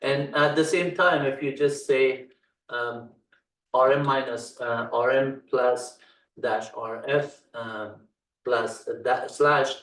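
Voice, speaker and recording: Middle-aged Dari-native man from Afghanistan, halting tutorial delivery full of fillers, recorded in an echoey room. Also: medium pitch, fairly even intonation, fairly bright tone, recorded from a distance. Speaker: instructor. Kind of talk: screen-share walkthrough tutorial.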